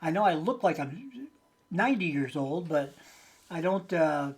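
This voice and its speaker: A man's voice: a little high, measured pace. A man in his late sixties chatting casually and unscripted to his viewers as a channel host, rambling in a folksy way with hesitant phrasing. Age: late 60s.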